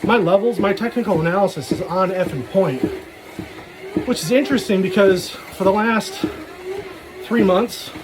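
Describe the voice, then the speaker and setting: A man's voice: high voice, moderate pace, pauses often, noisy background. A man giving a casual, rambling solo vlog monologue in frank, slangy, sweary talk. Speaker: solo vlogger.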